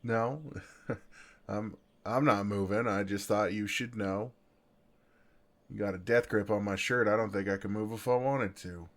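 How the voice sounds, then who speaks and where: Low-voiced, soothing Southern farmhand, acted by a man. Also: fairly even intonation, unhurried. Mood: tender, reassuring. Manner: easygoing, folksy, soothing drawl. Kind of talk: intimate ASMR roleplay acting.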